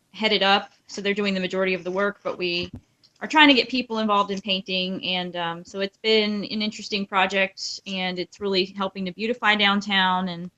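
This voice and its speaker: A woman's voice: mid-range voice, bright, forceful tone, rough recording. A woman talking casually as a panelist on a podcast. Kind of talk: casual chat.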